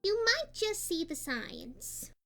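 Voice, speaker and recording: A woman voicing a cheerful, hyper young female party pony in a fan fiction audio story, girlish and expressive. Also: slow, creaky, heavily acted. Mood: playful, matter-of-fact. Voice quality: high-pitched, girlish. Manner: bubbly, expressive. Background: music.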